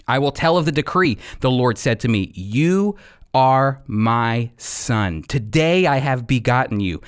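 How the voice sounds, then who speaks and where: A man delivers a Bible teaching with a lively, swooping pitch. He speaks emphatically and deliberately, pausing for dramatic weight as he moves between reading scripture and explaining it. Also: smooth. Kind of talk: expository sermon with scripture readings.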